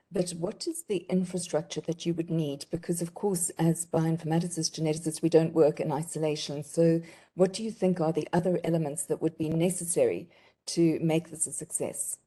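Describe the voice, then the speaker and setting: A woman, a professor examining a thesis over a remote video call, courteous and gently probing. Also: low-pitched. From South Africa. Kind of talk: formal academic questioning.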